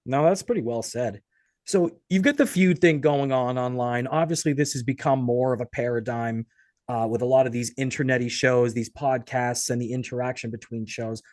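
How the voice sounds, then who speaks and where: A man's voice: medium pitch, normal speed, a little mellow, dry recording, clean studio sound. An American man hosting a podcast, asking questions and chatting casually in a conversational interview.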